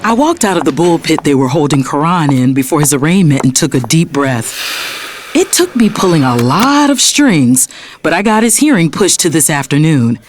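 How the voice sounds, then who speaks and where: A woman's voice: low voice, sing-song, normal speed, noisy background. A woman acting in an audio drama as a determined, righteous young lawyer with an American accent, earnest and no-nonsense. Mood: resolute, reflective. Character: determined, righteous lawyer sister telling her story. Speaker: narrator and character. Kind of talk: first-person dramatic storytelling.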